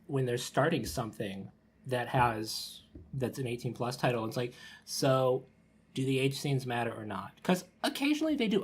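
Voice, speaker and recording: Male podcast host, mid-pitched and a little rough-edged, informal and conversational. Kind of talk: casual podcast chat.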